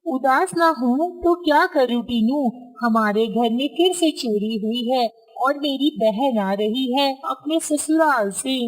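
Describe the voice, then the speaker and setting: Fretful male cartoon pigeon, acted by a woman, high voice, always complaining. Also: poor audio.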